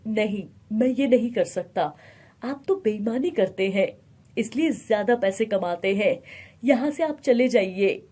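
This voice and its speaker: An honest, humble farmer as a woman plays him in a bedtime moral tale. The voice is husky and unhurried, earnest and steadfast in manner. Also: medium pitch, lively intonation, mellow. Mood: defiant. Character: honest, devout poor male farmer, firm and humble.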